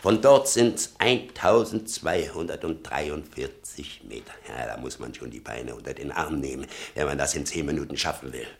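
Sardonic old father in a radio play, super deep creaky voice, swings up and down as he rambles. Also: expressive, normal speed, hardly any pauses, heavily acted. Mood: scornful. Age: older. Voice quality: gravelly. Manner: rambling, sardonic, muttering and flaring up. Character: bitter, sarcastic old father of a convicted son. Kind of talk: German radio play acting.

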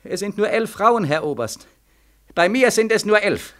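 Tormented young soldier, piercingly high-voiced. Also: male voice, very animated, normal speed, a bit bright. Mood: bitter. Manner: insistent, repetitive questioning. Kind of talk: 1940s radio drama acting. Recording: 1940s radio recording.

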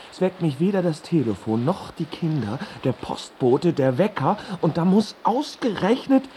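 A man's voice: high voice, darkish tone, a little hiss or hum. An actor in a radio play voicing an irritable middle-aged family man who grumbles to himself. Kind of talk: naturalistic radio play acting.

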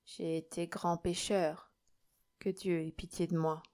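A woman voicing a miserly, sick old fisherman in an audiobook, gruff and grumbling, turning shrill in rage. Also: unhurried, close to an everyday voice. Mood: gruff, pitiful. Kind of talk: audiobook character acting.

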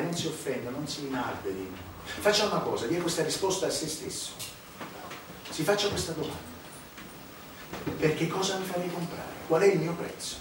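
A man's voice: medium pitch, lightly acted. An actor as a sinister clergyman, echoey and distant. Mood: probing. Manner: insinuating, rhetorical questions, dramatic pauses. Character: sinister, sleazy, menacing clergyman preaching about power and corruption. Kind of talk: theatrical stage monologue. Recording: roomy, echoey stage recording from afar, noisy.

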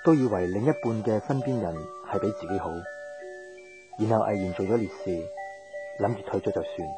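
An earnest young Hong Kong man in love, played by a male actor, in a radio drama. His voice is fairly low and understated, and guitar music plays behind it. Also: brisk, natural voice. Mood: wistful. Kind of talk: 1990s radio drama acting.

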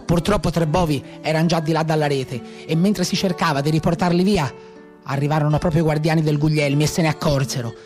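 A man's voice: on the high side, fairly even intonation, a little fast, a little mellow, soft background music, lightly acted. An actor with a Tuscan accent playing a brigand and former cowherd, bitterly telling his life in colloquial radio theatre style. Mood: agitated. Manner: colloquial folk storytelling. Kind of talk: live radio theatre monologue.

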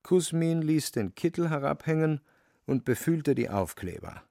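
A man narrating a literary radio play from a script, with a creaky, dry voice. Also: fairly high pitch, lively intonation, a little slow, mellow, no echo.

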